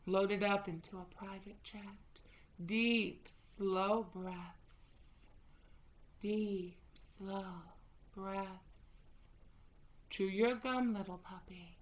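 A woman speaks slowly and deliberately, her tone teasing yet commanding. The audio is slightly dull and muffled. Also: mid-range voice, fairly even intonation. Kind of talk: scripted audio roleplay.

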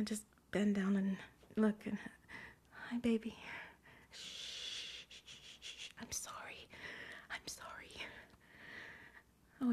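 A woman performing an intimate audio roleplay in hushed, breathless whispers, playful and teasing. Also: medium pitch, breathy edge.

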